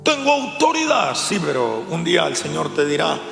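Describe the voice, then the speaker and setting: High-voiced Latin American preacher in reverberant hall. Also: male voice, normal speed, creaky, a bit bright. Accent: Latin American Spanish. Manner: emphatic, direct address to congregation, repeats for emphasis. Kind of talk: sermon, teaching with rhetorical questions. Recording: echoey hall, long reverb, music behind.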